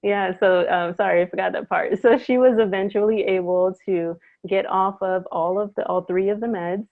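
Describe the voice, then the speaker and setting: A woman from Trinidad speaks as a guest over a video call, her voice coming through a thin, phone-like line. She talks in a measured, earnest way, pausing with ums. The sound is dry and close. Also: mid-range voice, steady tone, dry recording. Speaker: guest, naturopathic doctor. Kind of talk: interview answers, personal story.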